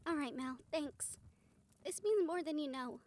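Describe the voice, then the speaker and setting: A woman acting a friendly, supportive young woman in an audio roleplay, speaking in a gentle, earnest manner. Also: sits high, unhurried. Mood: grateful.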